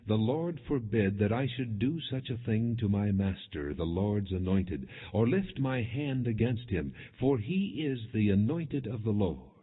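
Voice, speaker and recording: A man's voice: fairly low pitch, big pitch swings, a little slow, few pauses, slightly rough, full character voice. A man voicing an earnest, reverent warrior who pleads his innocence with emphasis in a scripture audiobook. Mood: reverent, firm. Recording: muffled, phone-like narrow band.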